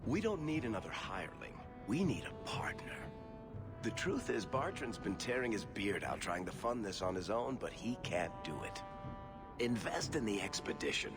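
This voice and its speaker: An actor playing a wry, smooth-talking dwarf rogue and dealmaker in a video game, persuasive and glib. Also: male voice, on the low side, very animated, normal speed. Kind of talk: video game cutscene dialogue. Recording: music behind the voice. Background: background music.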